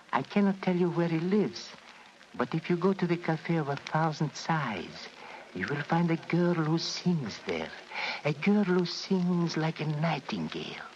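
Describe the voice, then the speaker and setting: An actor in an old radio drama playing a wily, fawning guide and scoundrel with florid, ingratiating sales patter. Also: male voice, on the high side. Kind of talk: 1940s radio acting. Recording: muffled, slightly noisy old radio broadcast.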